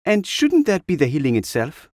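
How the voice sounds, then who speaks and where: A man voicing a brisk, teasing, exasperated older woman in a narrated story. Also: on the high side, huge pitch swings, a little mellow, no echo, clean studio sound, pitched above the performer's own voice. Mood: wry, pressing.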